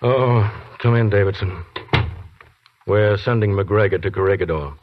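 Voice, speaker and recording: An actor playing a brisk, commanding army colonel who gives clipped orders in a 1940s radio drama. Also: male voice, lowish voice, close to an everyday voice. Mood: businesslike. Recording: lo-fi 1940s radio broadcast with hiss.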